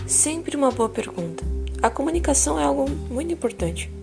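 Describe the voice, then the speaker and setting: Woman voicing calm wry therapist, gentle, fairly high voice, clear background music. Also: a little fast, exaggerated voice. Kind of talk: audio drama acting.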